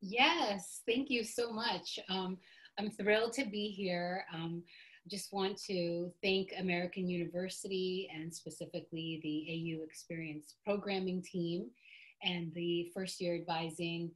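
A woman author speaks over a video call in a chatty, grateful manner. Her voice is on the lower side and lively with thanks. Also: a little slow, poor audio. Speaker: author guest. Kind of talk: conversational interview.

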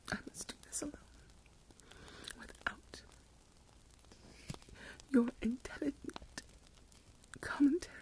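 A woman acts out a fretful older Regency matriarch in an ASMR drama. She whispers slowly and close up, in a high, breathy voice. Her manner is melodramatic and self-pitying. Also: a little mellow, rough recording. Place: indoors, lying in bed.

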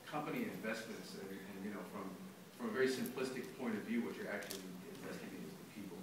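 A man's voice: low voice, noisy background. A man on a panel explaining with confidence and some fillers, recorded from the audience of a large lecture hall. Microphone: phone recording from the audience. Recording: echoey hall from far off.

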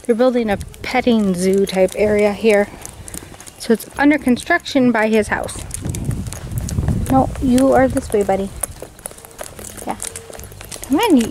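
A woman's voice: high voice, expressive, normal speed, long pauses, darkish tone. A woman chatting casually from behind the camera on an outdoor vlog, often talking to animals. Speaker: camera operator and co-host. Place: outdoors on a farm. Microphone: close behind handheld camera. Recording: noisy outdoor camcorder audio.

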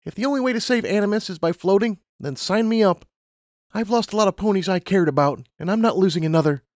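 A man acts out a young female unicorn pilot in a storybook, anxious and determined. The voice is lifted well up in pitch. It pushes forward with urgent insistence, in a dry, close recording. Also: fast talker, dry recording, natural voice.

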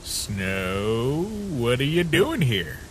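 A man's voice: mid-range voice, sing-song, extremely slow, a little hiss or hum. A man voicing a laid-back, wry skeleton who jokes casually in a comic dub. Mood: laid-back, joking. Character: laid-back joking male skeleton monster. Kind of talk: comic dub character acting.

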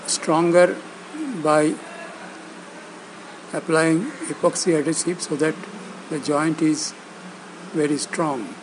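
A man gives step-by-step instructional narration in a slightly high voice. He speaks very slowly, in short phrases broken by pauses. A steady hum and buzz sits behind his words. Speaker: instructor demonstrating loudspeaker assembly.

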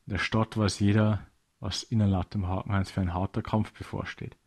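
Slightly husky low-voiced man telling stories. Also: flat delivery, fairly quick, a little mellow. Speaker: storyteller. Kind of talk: scripted children's audio story narration.

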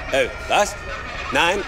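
A man's voice: on the high side, animated, drawn-out words. An actor playing a harried male police investigator in a radio crime drama, with a wry, colloquial manner. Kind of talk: naturalistic German radio crime drama acting.

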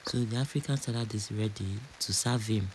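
A man's voice: fairly low pitch, brisk, breathy edge. A man from Nigeria with a Nigerian accent, chatting casually and repeating phrases as a home cook narrating a cooking vlog.

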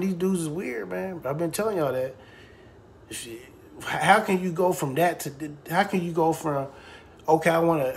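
A man's voice: a little high, a little hiss or hum. A man in giving blunt, mocking, profane reaction commentary and talking straight to his viewers. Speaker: reaction channel host.

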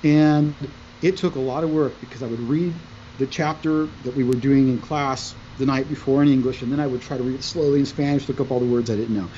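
Animated man telling a long story. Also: mid-range voice, fairly quick, lo-fi sound, slight background noise. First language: Italian. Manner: animated, gestures while talking. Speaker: podcast guest, musician. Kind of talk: conversational storytelling. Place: room at home, indoors. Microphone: video call, wearing headphones.

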